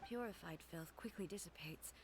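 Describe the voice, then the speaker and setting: A woman playing a calm, solemn female ruler who speaks in a measured, formal way in a video game. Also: on the low side, lightly acted. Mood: grave, explanatory. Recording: noisy game ambience behind.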